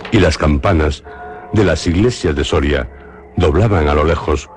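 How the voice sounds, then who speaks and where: Spanish radio play narrator guy, super deep voice, slow and grave. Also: a little mellow, faint music behind. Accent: Spain Spanish. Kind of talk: scripted radio drama narration.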